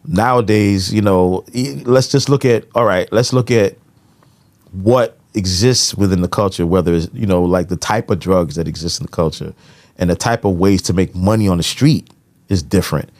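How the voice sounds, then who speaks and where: A man's voice: deep voice, moderate pace, a little mellow. An American man in casual podcast conversation, giving his opinions with frequent conversational tag phrases. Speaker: podcast co-host.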